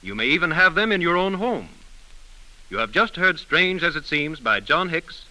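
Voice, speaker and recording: Animated, high-pitched male announcer. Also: normal speed, low-quality recording. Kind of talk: 1930s radio announcing, scripted ad read. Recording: vintage 1930s radio recording. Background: music.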